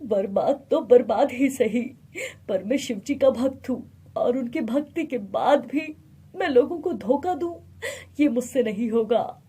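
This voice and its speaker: Woman acting earnest poor farmer man, husky voice. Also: fairly high pitch, expressive, a little slow, mellow, exaggerated voice. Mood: defiant. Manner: earnest, steadfast. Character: honest, devout poor male farmer, firm and humble. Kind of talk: moral storybook tale.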